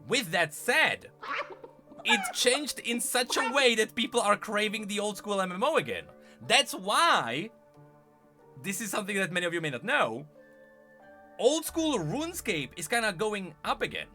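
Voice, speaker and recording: A man with a bright, high voice talks casually to his livestream chat as a gaming commentator. His pitch swings in a lively way, and he is emphatic, often repeating a phrase to drive it home. Music plays faintly behind him. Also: normal speed. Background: background music.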